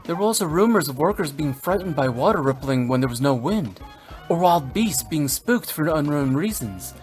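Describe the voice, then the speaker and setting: A woman playing a composed, businesslike resort manager in a fantasy game, speaking in a measured, explanatory way. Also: sits low, lively intonation, darkish tone, soft background music. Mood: serious. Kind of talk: scripted video game dialogue.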